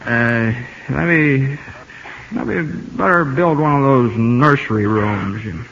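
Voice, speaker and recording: An actor playing an earnest, easygoing young country ballplayer in a radio drama, halting and folksy in his talk. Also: mid-range voice, vocal fry, a bit bright, slight background noise. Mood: happy, bashful. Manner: halting, folksy, repeats himself. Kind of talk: 1950s radio drama acting. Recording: muffled 1950 radio broadcast, music behind.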